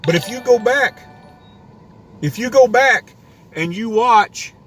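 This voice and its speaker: A man's voice: extremely high pitch, lively intonation, slow, husky, soft background music. Man ranting on a live stream. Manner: ranting, rambling with restarts. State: voice getting worn. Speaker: homesteading live stream host. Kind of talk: casual live stream rant. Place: inside a parked car.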